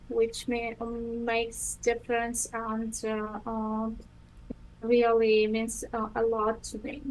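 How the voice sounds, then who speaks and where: A woman's voice: on the high side, poor audio. A woman in her thirties from Ukraine with a Ukrainian accent, whose first language is Russian, answering interview questions hesitantly with many ums and restarts. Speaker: guest.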